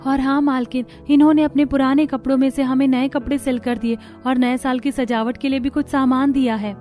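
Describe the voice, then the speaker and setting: A woman's voice: high-pitched, flat delivery, a little fast, warm tone, faint music behind, lightly acted. A woman voicing a humble, grateful housemaid in a cartoon story. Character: humble, grateful housemaid praising her mistress's daughter-in-law.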